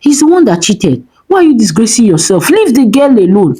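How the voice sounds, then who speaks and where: A woman's voice: a little high, very animated, fairly quick, warm tone, husky, voice pushed up. A woman doing a scolding bystander. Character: bossy bystander in a street crowd.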